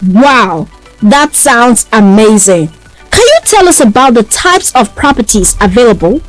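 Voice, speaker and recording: A woman's voice: a little high, very animated, unhurried, faint music behind, poor audio. A woman as an upbeat, eagerly impressed interviewer in a scripted radio advert. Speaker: advert presenter.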